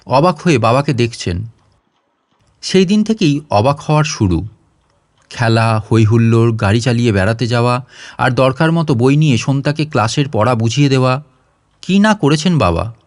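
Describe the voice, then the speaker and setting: A man's voice: medium pitch, animated, normal speed, no room sound, studio quality. A man reading a story aloud as a dramatic scripted audiobook narration.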